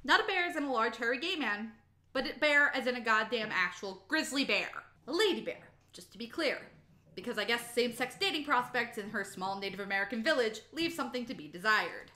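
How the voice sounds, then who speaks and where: A woman's voice: fairly high pitch, lively intonation, brisk, sharp tone, reverberant. A woman giving a sassy, emphatic dramatic reading of a humorous story, drawing out words for comic effect. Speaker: amateur reader of a humorous myth retelling. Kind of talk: animated dramatic reading with comic, profane narration.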